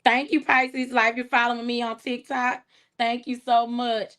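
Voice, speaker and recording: A woman hosting her first livestream, chatting casually and warmly, thanking viewers by name and laughing often. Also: a little high, level tone, moderate pace. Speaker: livestream host. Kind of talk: casual livestream chat reading comments.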